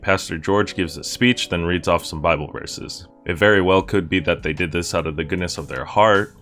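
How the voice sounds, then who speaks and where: Young male video essayist, low register, casual scripted read with a joking, irreverent edge. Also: a bit bright. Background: music with guitar under the voice.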